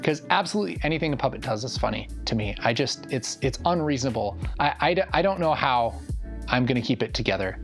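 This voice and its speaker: A man talks casually and with enthusiasm, as in an interview. His voice sits at a medium pitch. Music runs behind him. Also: lively intonation, quick pace.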